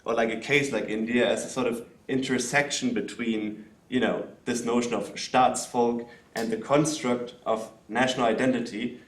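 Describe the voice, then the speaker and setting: Loud man asking a question in a hall. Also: mid-range voice. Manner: loud, hesitant, many fillers. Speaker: audience member asking a question. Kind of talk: spoken question at a talk. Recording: roomy hall sound.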